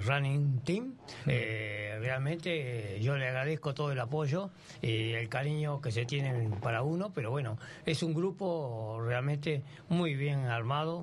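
A 79-year-old man with an Argentine accent, a runner guest on a radio show, giving earnest thanks in a halting way with many pauses and fillers. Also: mid-range voice, a little hiss or hum. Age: older. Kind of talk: casual radio interview.

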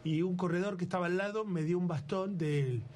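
Argentine man in his sixties, relaxed telling. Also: high-pitched, brisk. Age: 60s. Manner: plain, storytelling, "eh" fillers. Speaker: runner, guest. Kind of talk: casual radio interview.

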